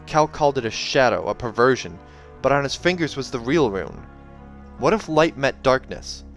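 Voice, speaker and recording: A man hosting a read-aloud of a novel, narrating in an expressive, dramatic style. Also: medium pitch, moderate pace. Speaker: host reading a novel aloud. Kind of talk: dramatic audiobook narration with casual asides. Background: soundtrack music.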